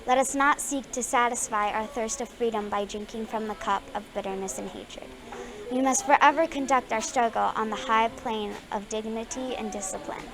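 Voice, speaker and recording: Casting: female student, voice a bit high, reciting a formal speech from text. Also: fairly even intonation, moderate pace, low-quality recording. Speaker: student reader. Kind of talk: reading a speech aloud.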